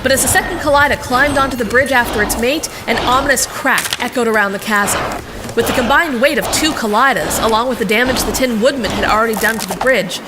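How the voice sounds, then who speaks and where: A woman's voice: fairly high pitch, brisk, very noisy, lightly acted. A woman playing a feisty, sarcastic young heroine who also narrates, in an audio drama. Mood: dramatic narration. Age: young adult. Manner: snappy, sardonic. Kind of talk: audio drama acting and first-person narration.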